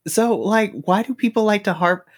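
A man's voice: sits high, moderate pace. A man hosting a casual podcast chat, asking questions in a self-deprecating way and laughing often.

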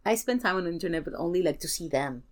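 Young woman, fairly low voice, gushing, playful, slangy, casual podcast guest chat. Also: animated, racing.

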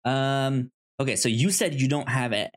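A man's voice: medium pitch, moderate pace, crowd noise. A man co-hosting a casual movie podcast, often hesitant and thinking aloud as he goes. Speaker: podcast co-host.

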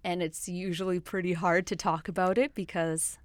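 A woman who grew up speaking Swahili talks at length in a casual film discussion, outspoken and full of fillers. Her voice sits on the low side. The sound is dry and close. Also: steady tone, normal speed, no room sound.

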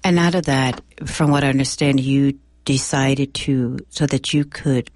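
A woman's voice: extremely low pitch, steady tone, unhurried, a little mellow, no room sound, studio quality. Woman host asking reflective questions. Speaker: radio show host. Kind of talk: interview questions.

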